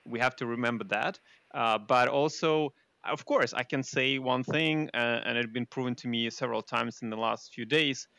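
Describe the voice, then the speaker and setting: Gravelly, bright-voiced man hosting a discussion. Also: mid-range voice, normal speed, dry recording. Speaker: discussion host. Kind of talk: casual panel discussion.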